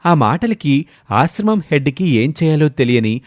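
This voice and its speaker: A man's voice: a little high, very animated, unhurried, no echo, studio quality. A man narrating a scripted story as the storyteller.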